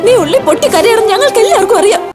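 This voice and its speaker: A woman's voice: extremely high pitch, animated, racing, over loud music. An actress playing a pleading, exasperated woman in a film, urgent and melodramatic.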